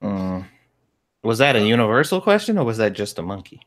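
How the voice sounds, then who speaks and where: A man's voice: fairly low pitch, sing-song, brisk. A man chatting casually on a group stream, making blunt, crude remarks. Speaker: co-host on the stream.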